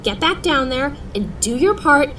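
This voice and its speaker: A woman's voice: sits high, animated, a little slow, fairly bright tone. An actress playing an exasperated young woman who firmly leads a group of mages in an audio drama. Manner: firm, speechifying, scolding. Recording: distant, faintly noisy recording.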